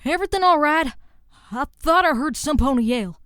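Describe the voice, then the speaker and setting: Woman as high-voiced folksy farm pony. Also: expressive, moderate pace, a bit bright, no echo, studio quality. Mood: gently concerned. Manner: folksy, easygoing. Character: caring older-sister country farm pony mare.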